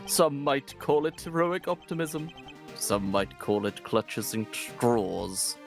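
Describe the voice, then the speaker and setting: A smug villain sneering over his opponents, as a player puts him on. The voice swoops up and down with wide, lively pitch and stretches out every word slowly and theatrically, relishing the mockery. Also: vocal fry, over music. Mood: gloating. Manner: theatrical, mocking, drawn-out delivery. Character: smug gloating male antagonist. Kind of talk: voice acting a game with friends.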